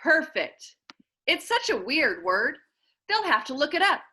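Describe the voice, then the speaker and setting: A snobbish rich schoolboy played by a woman reader, haughty and demanding. This storybook character sounds smug, with a bright tone and swooping pitch. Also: sing-song, measured pace, full character voice.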